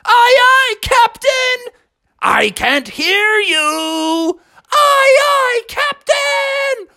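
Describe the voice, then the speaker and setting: A storyteller puts on an excitable little male cartoon frog, eager and wide-eyed. The voice leaps very high into squeaky exclamations and swoops all over, in a dry, close sound. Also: slow, gravelly, no room sound, heavily acted. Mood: thrilled yelling. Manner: exclaiming, enthusiastic.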